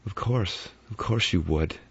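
A tense young governess speaks in a clipped, strained way, voiced by a man reading a story aloud. She sits in a fairly deep register with only a slight lift, not a true falsetto. The sound is dry and a little muffled. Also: unhurried, gravelly, breathy edge, no room sound, heavily acted.

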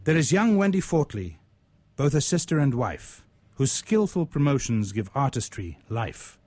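A man's voice: mid-range voice, big pitch swings, measured pace, darkish tone. A man hosting a radio show, telling stories and presenting poetry in a spoken, literary way. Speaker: radio show host and poet. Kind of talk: conversational storytelling and poetry recitation.

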